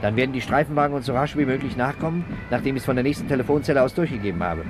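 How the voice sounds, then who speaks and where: An actor playing a brusque, authoritative police inspector in a 1960s German radio crime drama, brisk and commanding. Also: male voice, medium pitch, over music, close to an everyday voice. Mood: brisk, impatient. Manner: brisk, commanding, matter-of-fact. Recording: faintly noisy 1960s radio drama recording.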